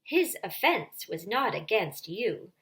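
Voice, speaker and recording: A great lion of calm, regal authority as a woman reads him aloud in a storybook tale. He speaks solemnly and with measured weight, every word a grave command. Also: medium pitch, heavily acted.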